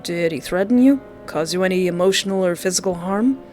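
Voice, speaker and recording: A woman's voice: lowish voice, fairly quick, darkish tone, lightly acted. A woman voicing a tired, dismissive male police officer in a visual novel, brusque and bureaucratic. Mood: bored, dismissive. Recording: clear background music.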